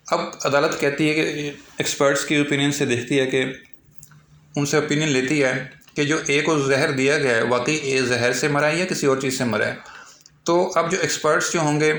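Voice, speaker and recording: A man's voice: mid-range voice, a bit bright. Pakistani man lecturing in an echoey room. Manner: slow, halting when reading English aloud. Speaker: law lecturer. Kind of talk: explanatory lecture, reading out articles then explaining.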